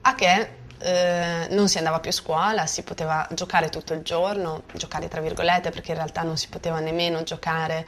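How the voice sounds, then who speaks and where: Low, even-toned woman from Bosnia. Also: moderate pace, few pauses, slight background noise. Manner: informal, hesitant, thinking aloud. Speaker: memoir author. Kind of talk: spontaneous spoken recollection.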